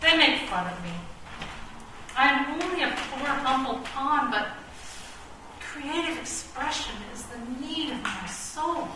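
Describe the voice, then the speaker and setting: A woman's voice: high voice, expressive, slow. A woman acting a bookish, brooding chess pawn with a poet's soul in a comic radio play, with pompous, precise, theatrical delivery. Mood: wounded, soulful. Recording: roomy, distant, noisy stage recording.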